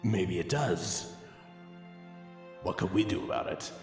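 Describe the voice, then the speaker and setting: Slow, gruff vampire brother in deep echo, man acting. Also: expressive. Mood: brooding. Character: gruff, sardonic male vampire brother, resentful. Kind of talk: dramatic storybook character reading. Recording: echoey long reverb over background music.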